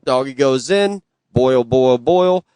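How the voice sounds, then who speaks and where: A man's voice: mid-range voice, expressive, slow pace, darkish tone, smooth, dry recording, studio quality. A man co-hosting a podcast in casual, comedic banter. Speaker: podcast co-host.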